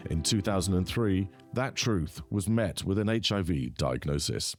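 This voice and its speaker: Deep-voiced male podcast host. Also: expressive, moderate pace, soft background music. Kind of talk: scripted intro then interview questions.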